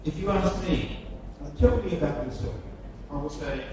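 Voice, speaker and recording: An Australian man giving a seminar lecture, speaking slowly with emphatic, repetitive phrasing and heavy stresses. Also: on the high side, dark tone. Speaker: guest lecturer. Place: large lecture hall. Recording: very echoey hall from far off, noisy.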